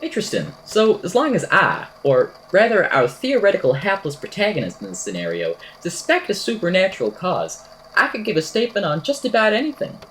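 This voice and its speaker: A woman's voice: lowish voice, huge pitch swings, fairly quick, a little hiss or hum, rough recording. A woman playing an eccentric, whimsical podcaster in an amateur audio drama, telling a strange tale in a verbose, wry, theatrical way. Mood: musing, wry. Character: eccentric, whimsical podcaster recounting a supernatural statement.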